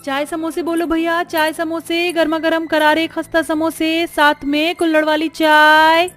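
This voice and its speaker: A woman's voice: extremely high pitch, flat delivery, unhurried, fairly bright tone, soft background music, pitched above the performer's own voice. A woman voicing a hardworking young daughter-in-law in a moral story, hawking tea and samosas in sing-song vendor calls. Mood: eager hawking.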